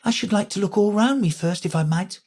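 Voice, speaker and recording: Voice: mid-range voice, brisk, a little mellow, voice pushed up, natural voice. A reader voicing a polite, earnest young girl of seven for a storybook. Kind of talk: storybook reading.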